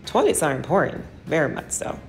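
A woman's voice: deep voice, sing-song, a little fast, darkish tone, slight background noise. A woman giving a casual, rambling walkthrough of how she cleans her salon, often losing her train of thought.

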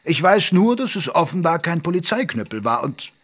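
A man playing an ageing Victorian doctor, a loyal, wry companion who tells the story in a measured, literate way in an audio drama. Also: on the high side, very animated, fairly bright tone, breathy edge, heavily acted. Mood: concerned, insistent. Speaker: narrator and character in an audio drama. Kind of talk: German audio drama narration and dialogue.

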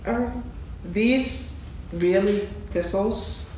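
A woman's voice: mid-range voice, extremely slow, a little mellow. A woman reading the part of an eager, boastful young tiger in a staged reading of a children's play. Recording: echoey stage, distant mic, background noise.

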